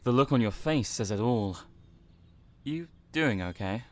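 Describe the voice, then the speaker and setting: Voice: mid-range voice, expressive, unhurried, close to an everyday voice. An actor playing a dry, teasing but caring male housemate in an audio drama, wry and casual. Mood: concerned.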